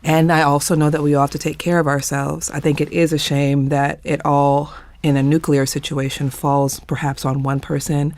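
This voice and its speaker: A woman's voice: deep voice, flat delivery, darkish tone, dry recording. Emphatic, earnest panelist, a woman. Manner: emphatic, deliberate, repeats phrases for weight. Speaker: panelist in a discussion of a play. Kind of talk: earnest, reflective discussion.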